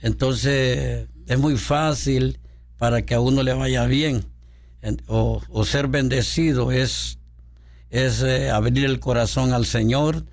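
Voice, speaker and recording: A man from Honduras, a pastor speaking as a radio guest in a studio, devout and halting with many fillers. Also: mid-range voice, steady tone.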